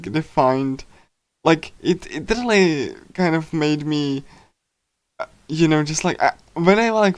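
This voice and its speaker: A man livestreaming in a casual, rambling chat style, speaking haltingly with lots of filler words. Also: on the high side, smooth, dry recording. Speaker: livestreamer reading a visual novel.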